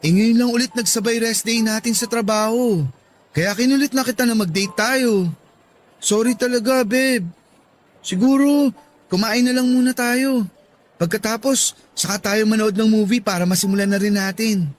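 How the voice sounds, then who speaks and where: Man playing coaxing young boyfriend, eager to please, unusually high voice, radio drama, faint noise behind. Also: normal speed, lightly acted. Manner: placating, coaxing.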